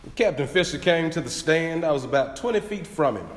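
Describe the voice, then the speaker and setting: Animated young male witness acted by a man, echoey hall. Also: on the high side, a little fast, background noise, natural voice. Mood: earnest. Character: young former slave giving eyewitness testimony, animated, earnest. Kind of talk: dramatic reading of historical testimony. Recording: echoey hall with long reverb.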